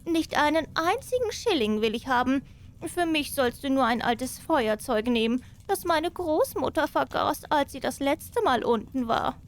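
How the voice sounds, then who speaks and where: A woman voicing a sly old witch in a storybook tale, coaxing and deliberate. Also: sits high, lively intonation, pitched above the performer's own voice. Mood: insistent.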